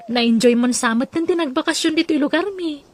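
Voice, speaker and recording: A woman's voice: sits high, moderate pace, close to an everyday voice. A woman playing a fussing, scolding elder sister in an Ilocano audio drama, speaking with an Ilocano accent. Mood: friendly, fussing. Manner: chiding, fussing.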